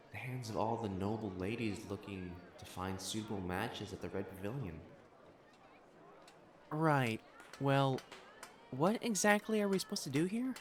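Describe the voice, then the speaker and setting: An actor playing a proud, secretly smitten young noble matchmaker in a romantic audio drama, with haughty formal diction that turns to stammering when flustered. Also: male voice, medium pitch, slight background noise, natural voice. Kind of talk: acted romantic audio drama monologue to the listener.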